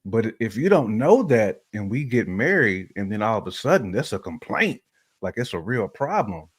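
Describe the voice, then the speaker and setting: A man with a low voice talks as a co-host in a casual, opinionated style. The sound is dry and close. He uses colloquial phrasing and repeats himself to drive a point home. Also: very animated, moderate pace, slightly rough, no room sound. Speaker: talk show co-host.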